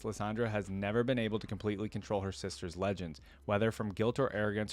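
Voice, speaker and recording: A man's voice: fairly low pitch, no echo. A man doing informal reaction commentary, switching between casual slangy remarks and reading story text aloud. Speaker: reaction video creator.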